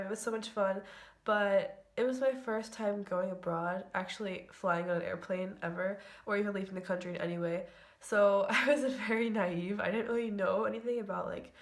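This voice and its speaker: A young woman telling a chatty, giggly storytime vlog full of "like" fillers. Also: medium pitch. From United States. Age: young adult. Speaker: vlogger.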